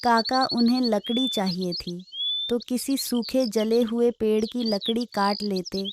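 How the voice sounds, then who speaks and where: Woman as a slow-talking, loyal young parrot. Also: fairly high pitch, steady tone, noisy background, natural voice. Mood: concerned. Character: young male parrot, loyal, caring and devoted. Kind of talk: acted children's moral story.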